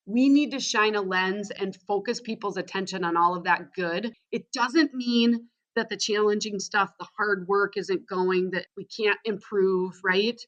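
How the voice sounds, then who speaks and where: A woman with a Wisconsin accent talks with energy and enthusiasm as a podcast guest, her voice at a middle pitch. She often checks in with a quick "right?". The sound is a bit dull and lo-fi. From Wisconsin, USA. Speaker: guest, school social media trainer. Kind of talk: podcast interview.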